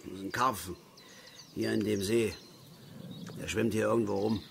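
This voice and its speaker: An actor playing a blunt, rambling, know-it-all older East German angler in a naturalistic radio drama. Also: male voice, on the low side, fairly even intonation, normal speed. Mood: grumbling, nostalgic. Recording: outdoor ambience with birds, clear background noise. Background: birdsong and lakeside nature ambience.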